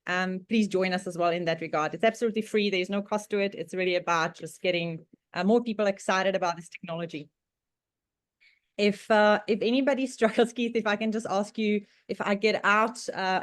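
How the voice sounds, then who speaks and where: A woman's voice: mid-range voice, no room sound, studio quality. A woman from the Czech Republic with a Czech accent, presenting on a video call in a chatty way, with frequent laughs and many fillers. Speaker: guest presenter. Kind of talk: online club presentation.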